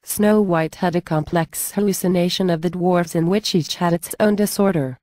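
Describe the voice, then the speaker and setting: A woman's voice: lowish voice, moderate pace, mellow, no room sound. A woman reading scripted narration for a storybook tale.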